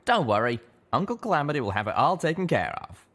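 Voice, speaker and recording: A man's voice: on the high side, big pitch swings, fast talker, a bit bright, pitched above the performer's own voice. A man voicing a cheerful tinkering cowboy pegasus with a folksy drawl and rustic slang, in a storybook reading. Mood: reassuring.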